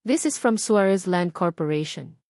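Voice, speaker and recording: A woman's voice: fairly low pitch, lively intonation, moderate pace, darkish tone, no room sound, studio quality. A woman voicing a polite, professional female corporate caller in an audiobook, formal and businesslike, with a Filipino accent.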